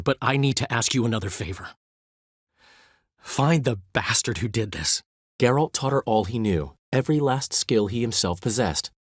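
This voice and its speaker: A flamboyant, vain bard, theatrical and wry as he boasts and teases his friends. His pitch swings in a lively way, with a slightly husky grain. The recording is dry and close, with no room sound. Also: dry recording. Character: flamboyant male bard, vain, charming, talkative. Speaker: game character. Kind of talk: game dialogue voice acting.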